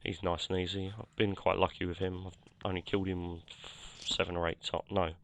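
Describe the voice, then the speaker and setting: A man, croaky from a cold, casually talking over his gameplay with lots of fillers and self-corrections. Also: sits low, flat delivery, fairly quick. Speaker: gameplay commentator. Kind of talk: casual game commentary.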